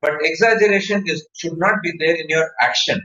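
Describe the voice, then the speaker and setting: Indian guy teaching public speaking online, kinda high forceful voice, muffled and far from the mic. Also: a little fast, a little hiss or hum. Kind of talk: online educational talk with personal anecdotes. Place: online session over video call. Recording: muffled, echoey online call recording.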